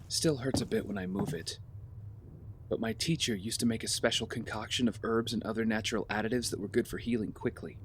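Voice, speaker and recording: A man's voice: on the low side, fairly quick, natural voice. A man playing a brooding, disillusioned young vigilante in an audio drama, with a weary, earnest manner. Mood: guarded. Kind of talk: scripted audio drama acting. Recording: clear background noise with ambience.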